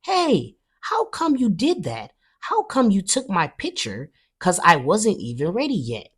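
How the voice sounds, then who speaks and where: A woman's voice: medium pitch, wildly swooping pitch, measured pace, slightly rough, pitched above the performer's own voice, heavily acted. A woman voicing a brash, chatty kindergarten girl in a children's storybook, emphatic and childlike. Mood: indignant. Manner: emphatic, indignant, childlike grammar. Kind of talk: children's storybook read-aloud.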